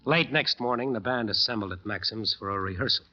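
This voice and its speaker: A man's voice: on the low side, brisk, bright, forceful tone. An actor playing a cocky, hard-boiled American jazz bandleader who narrates his eerie story in a 1940s radio drama. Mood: tense narration. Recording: muffled old radio recording with music.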